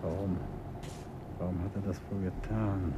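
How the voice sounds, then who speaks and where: An actor playing a courteous, authoritative detective in a 1950s German radio play, probing and persuasive. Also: male voice, low-pitched, fairly even intonation, a little slow. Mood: thoughtful. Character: alert, courteous, authoritative male amateur detective. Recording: faintly noisy 1950s radio recording.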